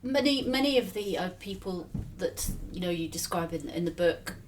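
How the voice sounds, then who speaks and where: A woman's voice: lowish voice, animated, normal speed. Woman doing an interview, bit far from the mic, faint background noise, tentative thoughtful phrasing. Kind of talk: conversational interview.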